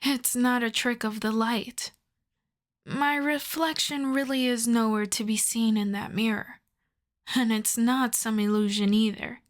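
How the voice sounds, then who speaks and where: Girl doing a polite vampire lady for asmr roleplay, soft n breathy, bit high, really slow talking, coyly obsessed. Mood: playful, confiding. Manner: soft-spoken, courteous, measured, often breathy. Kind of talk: ASMR roleplay, addressing the listener.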